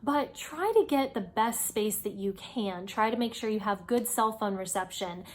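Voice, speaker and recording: A woman's voice: mid-range voice, normal speed. A woman hosting an informal advice video, explaining things in a conversational, encouraging way. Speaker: host giving career advice. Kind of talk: informal instructional video talk.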